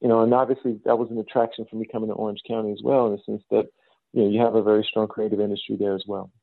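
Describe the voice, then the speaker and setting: A middle-aged American man from Chicago giving explanatory, measured answers as an interview guest, often dropping in "you know". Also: lowish voice, little pitch movement, vocal fry, no room sound, phone-quality sound. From south side of Chicago, United States. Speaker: interview guest, business school dean.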